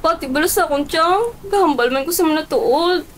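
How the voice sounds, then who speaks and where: A woman's voice: very high voice, moderate pace, a little hiss or hum. A woman voicing a young employee who answers politely but uneasily and pleads to be left alone. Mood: pleading. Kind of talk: student radio drama acting.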